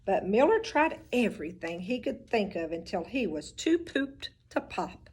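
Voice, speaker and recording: A teacher reading a picture book aloud, with expressive storybook delivery and little asides about the pictures. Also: female voice, mid-range voice, unhurried, husky.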